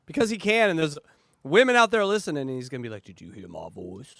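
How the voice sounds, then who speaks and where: A fairly high-voiced man hosting a casual reaction podcast. He rambles loosely and swears freely, and his voice sounds dry and close with no room around it. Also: wildly swooping pitch, quick pace, creaky, fairly bright tone, dry recording. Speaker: podcast host.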